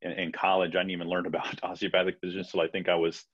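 A man's voice: low-pitched, flat delivery, racing, a bit bright, dry recording. A man in his thirties from Peru, raised in Florida, a physician answering interview questions on a video call in a hesitant way, with many fillers and restarts. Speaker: physician guest. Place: home room, video call. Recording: dull lo-fi video call audio.

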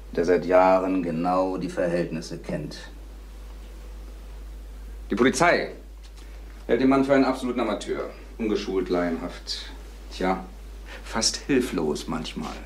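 An actor playing a stern, insistent businessman in a crime radio play, with a measured, pressing manner. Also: low voice, husky, exaggerated voice. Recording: noisy old radio play recording.

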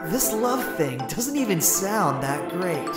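A hot-headed young ghost guy, voiced by a woman, scornful and cocky. The pitch jumps about, and the voice rings with echo over loud music, in comic dub style. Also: very animated, slow. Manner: cocky, irritable.

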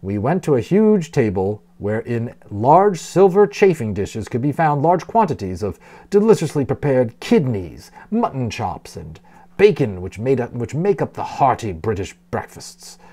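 Male audiobook narrator, expressive and dramatic, soft-edged tone, big swings in intonation. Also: a little high. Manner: dramatic, with brief explanatory asides. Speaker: audiobook reader, storyteller.

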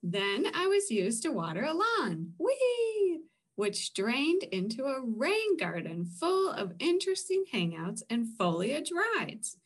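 A woman voicing a breezy, relaxed female raindrop, chirpily explaining her travels in a comic strip read-aloud. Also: sits high, slow pace, heavily acted.

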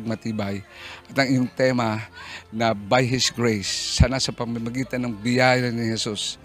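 A man speaking as a pastor, giving a slow, solemn greeting to a congregation. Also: medium pitch.

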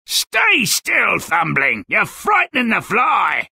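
A man voicing a brutish, mean, lawless father in a fairy-tale video game, with a harsh gravelly voice. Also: high voice, wildly swooping pitch, slow pace, sharp tone, no echo, full character voice. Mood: angry, menacing. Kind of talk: video game character acting.

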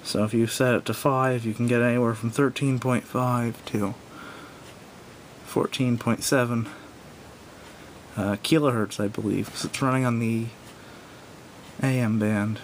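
A man's voice: fairly even intonation, measured pace, pauses often. A man giving a casual, unscripted hands-on product review in a hesitant, rambling, self-correcting way. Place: indoors at a wooden table. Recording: noisy home recording with low rumble.

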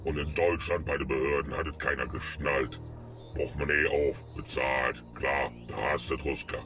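A man's voice: a bass voice, steady tone, moderate pace. A man complaining and agreeing in a comedy sketch. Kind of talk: ranting comedy dialogue. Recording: faint music behind voice. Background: music.

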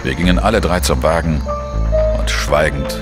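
An actor playing a world-weary investigative journalist in a crime radio drama, speaking in a measured, sombre, terse way. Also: male voice, rumbling low. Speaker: narrator and lead actor. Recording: music behind the voice.

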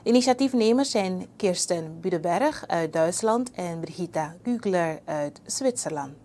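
A woman news presenter reading formally and clearly in a TV studio. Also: fairly low pitch, animated, a little slow, warm tone, a little hiss or hum. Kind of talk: news anchoring.

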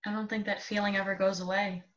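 A kind young mechanic, played by a woman, practical and sincere in a sci-fi script reading. The voice is mid-pitched, on slightly dull video-call audio. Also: little pitch movement, fast talker, fairly bright tone, natural voice. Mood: soothing. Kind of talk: fan table read of a film script.

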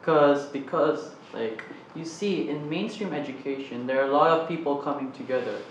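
A man's voice: on the high side, normal speed, slight background noise. Earnest man arguing in an echoey studio. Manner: earnest, argumentative. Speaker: panelist. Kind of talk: opinionated discussion. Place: studio with panel on a couch. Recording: echoey, slightly dull studio sound.

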